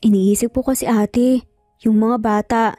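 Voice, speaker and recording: A woman's voice: on the high side, steady tone, moderate pace, mellow, no echo, studio quality, faint music behind, natural voice. A woman voicing an exasperated, proud Filipino woman lawyer and mother in a story, sarcastic and reproachful. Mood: worried.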